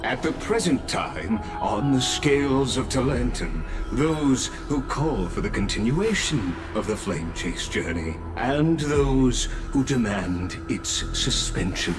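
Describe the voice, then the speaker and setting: An actor playing a grave male assembly official making formal, deliberate announcements. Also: mid-range voice, sing-song, over music. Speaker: assembly official overseeing a vote. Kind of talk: video game voice acting.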